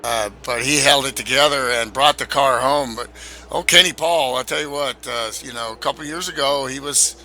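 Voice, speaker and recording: A man co-commentating a sim race he also drives in, relaxed and hesitant with fillers. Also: mid-range voice, normal speed, slight background noise, rough recording. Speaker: co-commentator and racer. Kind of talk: sim racing broadcast commentary.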